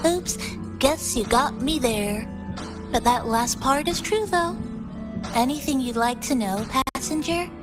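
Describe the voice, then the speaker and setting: A woman voicing a gentle, playful female guide in an anime-style game, speaking softly and attentively with light teasing. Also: fairly high pitch. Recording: music behind the voice.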